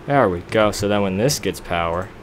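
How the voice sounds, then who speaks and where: A man's voice: on the low side, lively intonation, moderate pace, a little hiss or hum. A young man casually narrating a block-building game, rambling and poking fun at himself while he works things out. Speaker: let's play gamer. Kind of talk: casual gameplay commentary.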